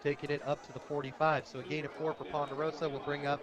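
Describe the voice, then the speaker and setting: An American man doing live radio play-by-play of a football game in an energetic, conversational way. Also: on the high side, fast talker, lo-fi sound. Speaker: radio play-by-play sports announcer. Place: outdoors at a high school football game. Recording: outdoor stadium broadcast with crowd noise. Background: crowd at a stadium.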